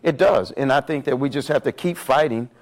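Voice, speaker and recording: A man with a loud voice speaking on stage as a panelist, making emphatic points and repeating words as he builds his argument. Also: mid-range voice, a little fast. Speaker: panelist on justice reform. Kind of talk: impassioned panel talk. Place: on stage at a live event.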